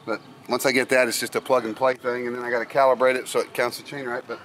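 A middle-aged man walks through a job on his sailboat in an easygoing, step-by-step way, stopping for the odd filler. His pitch sits at an ordinary middle height for a man. There is a faint hiss of noise behind him, as he talks to a camera on deck. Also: flat delivery, fast talker, a bit bright. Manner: plain, step-by-step explaining with fillers. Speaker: sailing vlogger. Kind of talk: casual how-to narration. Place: on a sailboat deck, outdoors and in the cabin.

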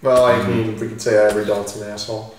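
A man's voice: fairly low pitch, flat delivery, fast talker, background noise. Man joking in a very echoey room. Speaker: let's player. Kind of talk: casual joking game commentary. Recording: very echoey room, distant mic, game music.

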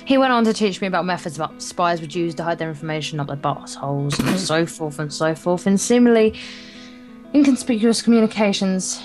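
A woman reading visual novel narration aloud as a let's play co-host, stumbling and correcting herself as she goes. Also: on the low side. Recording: guitar music behind. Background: game's guitar music.

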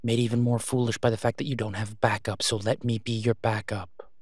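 A man playing an exasperated, protective vigilante in a phone-call audio roleplay, speaking urgently and emphatically, with pleading pauses. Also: fairly low pitch, level tone, a little mellow, no room sound, studio quality, lightly acted. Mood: worried, pleading. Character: exasperated, protective masked male vigilante on patrol.

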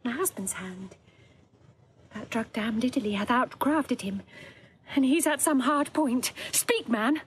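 An actress playing an anguished, proud young princess and wronged wife in impassioned, declamatory classical Shakespearean audio drama. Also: female voice, a little high, animated, unhurried, slight background noise. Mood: anxious, urgent.

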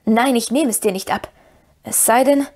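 A woman voicing a stern, commanding yet pitying male hobbit in a fantasy story, speaking in a firm, measured way. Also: a little high, a little mellow, lightly acted. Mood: firm, wary.

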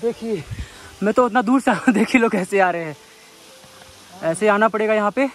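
A man in his twenties from India giving excitable, casual vlog commentary outdoors to viewers and friends, recorded on a phone at arm's length. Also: sits high, fairly quick. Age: 20s. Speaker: vlogger. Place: outdoors on a rocky hillside and waterfall.

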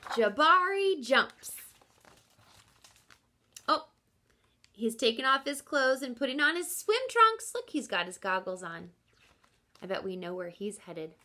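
A woman in her thirties reads a children's story in a high, bright voice with big swings of pitch. She takes her time and speaks straight to the children, explaining and asking questions like a teacher. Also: a little slow, long pauses. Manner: expressive, instructive, addressing children directly. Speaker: reading teacher. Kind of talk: children's picture book read-aloud with questions to listeners.

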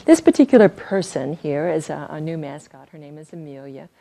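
An older woman presenting research to an audience in a conference room, soft-spoken and using fillers. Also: deep voice, lively intonation, brisk, creaky. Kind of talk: scientific talk at a reception.

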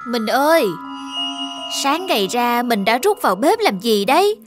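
A woman voicing a sharp-tongued, bossy village wife in an animated fairy tale, pointed and chatty. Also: on the high side, unhurried. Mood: bossy, scolding. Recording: prominent children's music behind the voice.

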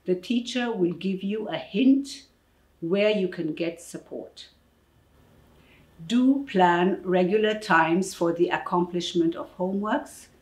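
A middle-aged woman giving a scripted public information address in a measured, formal, deliberate way. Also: on the low side. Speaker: presenter of advice for parents. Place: indoor office room. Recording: echoey room.

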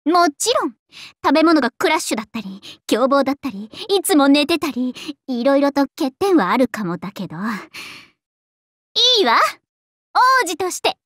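Super high girly anime game dragon princess, vain and playful, voice swooping everywhere, dry recording. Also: very animated, normal speed, a bit bright, dry recording. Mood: haughty, teasing. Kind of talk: Japanese anime game character voice lines.

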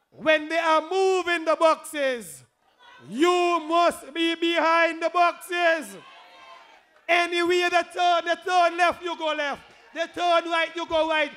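High-pitched Kittitian man giving a rally speech. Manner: emphatic, repetitive, rousing, shouted phrases. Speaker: political candidate.

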